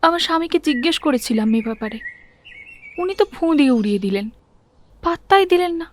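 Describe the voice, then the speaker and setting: A woman's voice: sits high, expressive, fast talker, slight background noise. A woman voicing a young, naive daughter-in-law in a cartoon, confiding and mildly complaining. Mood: puzzled, confiding. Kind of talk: cartoon voice acting.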